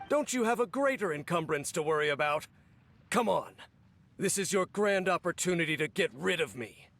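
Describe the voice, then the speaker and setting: A man voicing a stoic, solemn captain and cursed swordsman in a video game, speaking in a grave, formal, measured way. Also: high-pitched, animated, creaky, fairly bright tone, a little hiss or hum, full character voice. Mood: defiant. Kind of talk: dramatic video game voice acting. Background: background music.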